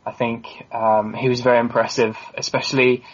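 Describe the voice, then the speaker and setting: A man's voice: medium pitch, little pitch movement, moderate pace, breathy edge. Man talking football stats, dull lo-fi audio. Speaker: football podcast panellist. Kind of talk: casual sports discussion. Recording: dull, lo-fi voice recording.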